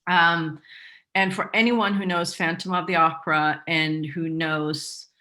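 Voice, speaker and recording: A woman's voice: fairly low pitch, flat delivery, a little slow, pushed and bright. A woman from Sweden, not a native English speaker, chatting as an interview guest with many fillers and restarts. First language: Swedish. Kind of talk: casual interview chat.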